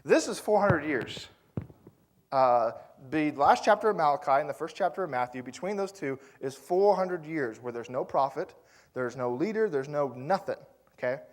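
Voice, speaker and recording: A man's voice: a little high, expressive, moderate pace. Chatty, conversational male preacher. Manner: conversational storytelling preacher with fillers and rhetorical asides. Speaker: pastor. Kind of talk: sermon.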